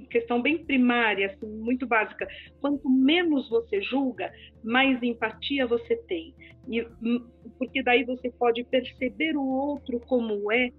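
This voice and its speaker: A woman with a Brazilian Portuguese accent, a podcast guest explaining communication and empathy, animated and sometimes acting out other people. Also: a little high, husky. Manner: animated, acts out quoted people. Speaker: speech therapist guest. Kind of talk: podcast conversation. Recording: phone-like lo-fi audio with faint music.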